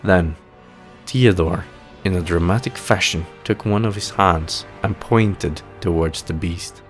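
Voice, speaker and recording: A man narrating a fantasy audio play in a theatrical, solemn storytelling manner with deliberate, dramatic phrasing. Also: deep voice, faint music behind.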